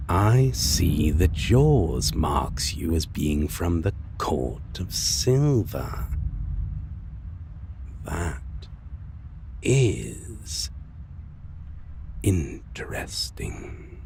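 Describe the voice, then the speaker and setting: Male vampire, deep, very slow, mocking, menacing, breathy whispers, dark fantasy roleplay, noisy background. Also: very animated, exaggerated voice. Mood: sinister amusement. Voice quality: gravelly, low. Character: taunting, menacing male vampire, a lost love turned monster.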